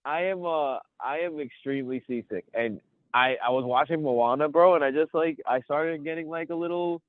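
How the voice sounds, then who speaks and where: A man in his thirties with a cold, chatting as a podcast co-host and rambling excitedly through his stories. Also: fairly high pitch, normal speed, no room sound. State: sniffly from a cold. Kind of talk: casual chat. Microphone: phone. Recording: tinny phone line.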